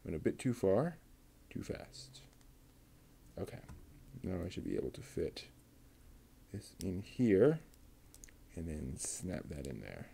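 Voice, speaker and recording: A man with a low, gravelly, dark-toned voice talks slowly as he builds a model kit. He mutters half to himself, reading off part numbers in a relaxed, methodical way. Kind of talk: casual running commentary.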